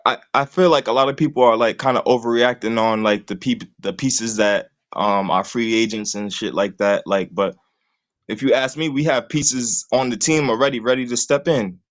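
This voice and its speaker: A man's voice: mid-range voice, fairly even intonation, moderate pace. A man, talking sports casually and rambling, leaning on "you know what I'm saying" all the time. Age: 20s. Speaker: call-in guest host.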